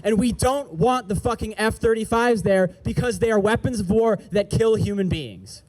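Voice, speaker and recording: A man's voice: sits high, moderate pace, darkish tone, slight background noise, rough recording. A man giving an impassioned speech at an outdoor rally as an activist organizer, angry and emphatic, repeating slogans.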